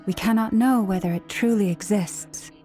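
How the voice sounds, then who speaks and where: Gentle priestess, keeper of lore female actor, mellow mid-pitched voice, measured and formal, anime game. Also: soft background music, natural voice. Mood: calm.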